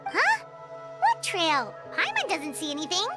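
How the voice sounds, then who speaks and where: A woman voicing a small, childlike, excitable fairy companion in a video game, squeaky and exclamatory. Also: measured pace, loud music behind the voice, full character voice. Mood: surprised. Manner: exclamatory, refers to herself in third person. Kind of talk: animated video game voice acting.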